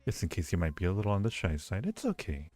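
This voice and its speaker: A man's voice: low-pitched, wildly swooping pitch, quick pace, a little mellow. A man hosting a livestream, chatting casually with viewers in a playful, flirty way and often repeating his phrases. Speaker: livestream host. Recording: roomy echo, faint music behind.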